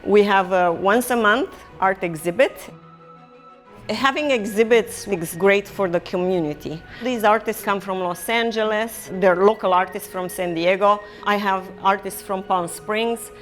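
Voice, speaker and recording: A woman's voice: medium pitch, a little slow. Middle-aged woman, reflective storytelling, faint music behind. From Romania. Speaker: artist and gallery owner. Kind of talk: personal storytelling in a documentary interview.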